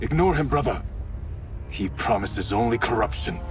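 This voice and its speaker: An actor playing an earnest younger brother, a ninja warrior in a video game, urgent and pleading. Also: male voice, medium pitch, expressive, normal speed, far from the mic, over music, lightly acted. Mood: urgent, warning. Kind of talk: dramatic video game cutscene acting.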